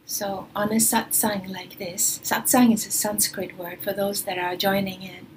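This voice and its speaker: A woman whose native language is Swedish, giving a spiritual talk in a soft manner that often drops near a whisper, speaking away from her usual studio. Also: medium pitch, flat delivery, a little slow, a little hiss or hum, low-quality recording. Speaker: spiritual teacher.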